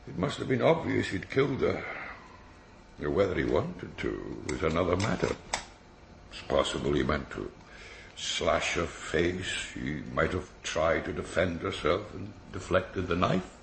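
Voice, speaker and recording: An actor playing a dry, pedantic forensic pathologist in a radio drama, speaking in a precise, deliberate, expository way. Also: male voice, low voice, expressive, vocal fry, full character voice. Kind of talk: naturalistic radio drama acting. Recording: roomy echo, faint background noise.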